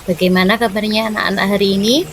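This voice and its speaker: A woman teaching a recorded lesson for schoolchildren, explaining step by step in a clear, measured way. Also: medium pitch, steady tone. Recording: loud background music.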